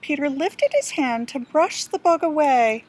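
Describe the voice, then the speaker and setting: Slow, high-pitched woman storyteller. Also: animated, darkish tone. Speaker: teacher reading to pupils. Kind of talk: children's storybook read-aloud narration.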